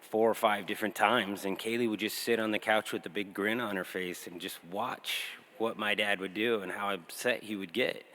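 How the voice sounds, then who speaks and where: A middle-aged man telling a family story at a memorial service, in a plain, halting way as a father remembering his daughter. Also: lowish voice, bright, forceful tone.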